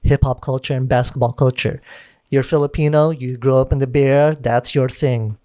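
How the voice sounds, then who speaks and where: Man, casual podcast talk, animated, voice climbs on a point, middle pitch, dry close sound. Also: fairly quick, clear, resonant tone, dry recording. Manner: animated, chatty, rising pitch when making a point, casual swearing.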